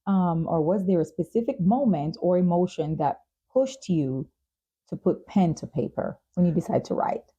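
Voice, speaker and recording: Low, very mellow woman host. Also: moderate pace, slightly rough. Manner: empathetic, affirming interjections. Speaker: talk show and podcast host. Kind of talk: interview conversation.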